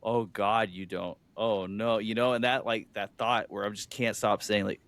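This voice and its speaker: Man, lowish voice, rambling, restarts, casual podcast chat. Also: fairly even intonation, normal speed, fairly bright tone, no echo. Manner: rambling, many restarts and fillers. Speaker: podcast co-host. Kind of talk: casual film review chat.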